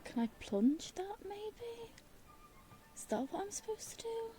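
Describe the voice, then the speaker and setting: Gamer girl talking to herself while playing, super swoopy mellow voice, giggly and unsure, faint music behind her. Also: very high voice, very animated, a little slow. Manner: self-deprecating, giggly, hesitant, thinking aloud. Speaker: game streamer playing and commenting. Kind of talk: casual gameplay commentary.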